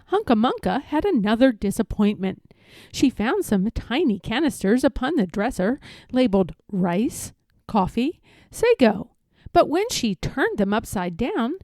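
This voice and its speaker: A woman reading a children's story aloud in an expressive, measured, emphatic storytelling manner. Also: on the high side, no echo. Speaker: storybook reader.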